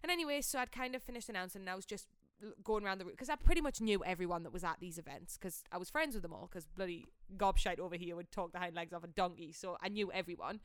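A young woman chats as a podcast co-host, swearing freely as she tells a story. Her voice is smooth and clear, recorded dry, and her delivery is animated and blunt. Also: lowish voice, fast talker, hardly any pauses, no room sound. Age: young adult. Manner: animated, blunt, salty-tongued. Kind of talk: casual storytelling chat.

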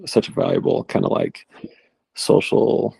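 A man in his late thirties, a returned missionary, telling stories in a relaxed, rambling interview style. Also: deep voice, measured pace, vocal fry, slightly rough, dry recording, poor audio. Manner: relaxed, rambling with fillers and restarts. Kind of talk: conversational interview storytelling.

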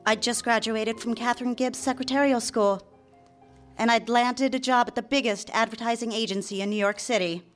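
An actress playing a young 1950s secretary in a radio drama, confiding and lovestruck, live on a theater stage. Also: female voice, a little high, fairly even intonation, normal speed, faint music behind, close to an everyday voice. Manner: confiding, direct address to listener. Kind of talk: radio drama narration and dialogue.

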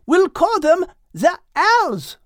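A woman's voice: extremely high pitch, big pitch swings, slow, dry recording, studio quality, pitched above the performer's own voice, heavily acted. A woman doing a helpful little animal girl. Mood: explaining. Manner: patient, explaining.

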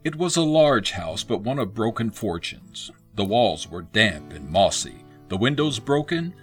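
A man narrating a scripted radio play with expressive, literary storytelling phrasing. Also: fairly low pitch, unhurried, faint music behind.

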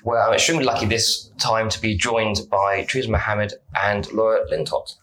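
A man's voice: on the low side, fairly even intonation, brisk, a bit bright, echoey room, slight background noise, poor audio. A man hosting a podcast, chatty and jokey, often breaking into laughter. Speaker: podcast host. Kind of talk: casual podcast chat.

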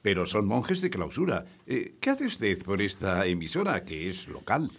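A man's voice: lowish voice, wildly swooping pitch, moderate pace, a little hiss or hum. A man playing a polite, patient but harried radio host in a comedy play, hesitant and often interjecting. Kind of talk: comic radio theatre acting.

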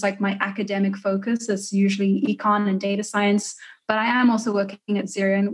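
A woman in her early twenties speaks with a South African accent. She talks casually as a guest in an interview, at an average pitch for a woman. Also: a little mellow. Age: early 20s. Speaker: podcast guest.

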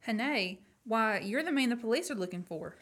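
A woman's voice: on the high side, animated, brisk, a bit bright. A woman playing a haughty young lady train passenger in a radio play. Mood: indignant. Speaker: student actor.

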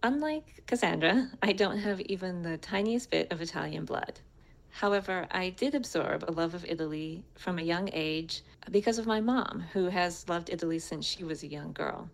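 Creaky-voiced American woman, slightly low. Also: normal speed, low-quality recording. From United States. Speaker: co-host and guest speaker telling her story. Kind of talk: prepared personal introduction, conversational storytelling.

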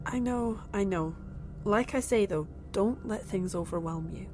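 An actress playing Claire, a curious young woman abducted onto an alien ship, calm and reassuring to others. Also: medium pitch, unhurried, close to an everyday voice. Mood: calm, steadying. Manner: reassuring, then eagerly curious. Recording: faint music score underneath.

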